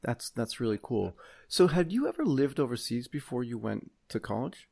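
A man's voice: mid-range voice, sing-song, moderate pace, creaky, a little mellow, slightly rough. A man hosting an interview podcast, chatty and asking long, rambling questions. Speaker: podcast host.

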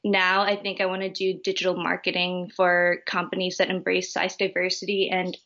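A woman's voice: fairly low pitch, completely flat delivery, normal speed, fairly bright tone. Young woman, from Thailand, university student guest, polite, friendly, slightly muffled audio. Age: young adult. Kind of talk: interview answers.

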